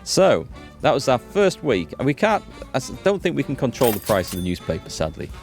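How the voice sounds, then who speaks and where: A man's voice: mid-range voice, wildly swooping pitch, brisk, a little mellow. A man giving casual let's play commentary as host, explaining things and thinking aloud as he goes. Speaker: gaming video host. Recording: music behind the voice. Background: music.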